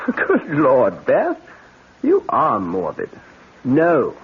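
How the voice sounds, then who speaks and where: An actor playing a smooth-talking, controlling husband in a 1970s radio drama. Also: male voice, mid-range voice, wildly swooping pitch, very slow, heavily acted. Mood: smooth, indulgent. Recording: muffled, hissy 1970s radio recording.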